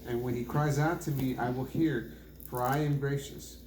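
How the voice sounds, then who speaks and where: A man's voice: medium pitch, fairly even intonation, moderate pace, a little mellow. Man reading scripture aloud, echoey room. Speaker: scripture reader. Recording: roomy echo, faint background noise.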